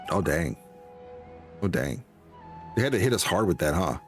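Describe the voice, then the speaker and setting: A man's voice: deep voice, expressive, moderate pace, creaky, music in the background. A man giving casual, slangy, joking commentary while playing through a game and reading dialogue aloud. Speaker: game streamer.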